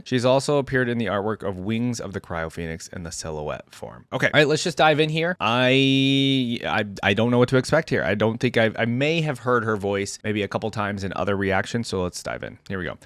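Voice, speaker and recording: A man's voice: on the low side, a bit bright, no room sound. A man hosting game reaction commentary, talking and reading aloud in an informal, easygoing way. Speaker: host of a game lore reaction channel.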